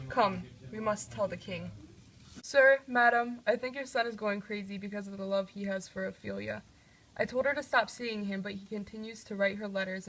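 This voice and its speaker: A woman acts out an officious old male counsellor at a royal court. The voice is mid-pitched, and the style is homemade scripted puppet drama. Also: fairly bright tone, rough recording. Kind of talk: amateur scripted puppet-show acting.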